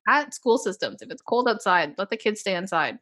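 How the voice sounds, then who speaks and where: Laughing young woman co-host. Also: brisk. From Canada. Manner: animated, laughs often, swings in pitch. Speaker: podcast co-host. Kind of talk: casual chat.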